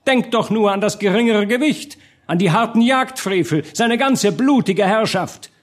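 A man voicing a gaunt, pompous agitator haranguing a crowd with a hoarse, rhetorical delivery in a storybook reading. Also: high-pitched, normal speed, pitched above the performer's own voice. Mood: pompous, indignant. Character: long gaunt agitator doctor haranguing a crowd, self-important.

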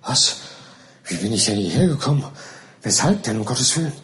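A man's voice: mid-range voice, sing-song, moderate pace, a bit bright. Guy doing a dazed flustered old gent, all questions, audiobook. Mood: bewildered. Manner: confused, flustered. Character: bewildered older gentleman, just woken.

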